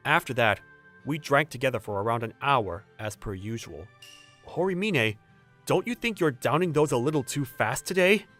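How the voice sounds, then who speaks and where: A man's voice: medium pitch, animated, normal speed, a bit bright, soft background music, natural voice. A young man playing a polite, cautious new employee, speaking dialogue and first-person narration in a manga dub. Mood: puzzled, casual. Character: hesitant, polite young man, newly hired junior employee.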